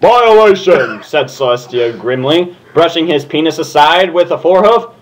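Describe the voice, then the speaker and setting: A man casually reading a story aloud for comedy, halting, swearing and giggling. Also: a little high. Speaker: co-reader of a fan fiction reading show.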